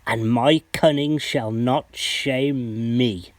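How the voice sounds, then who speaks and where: A man acting a sly, cunning henchman in a Shakespearean radio play, deliberate and conspiratorial. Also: mid-range voice, no echo. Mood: sly plotting. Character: sly, cunning henchman plotting slander for pay. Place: home recording.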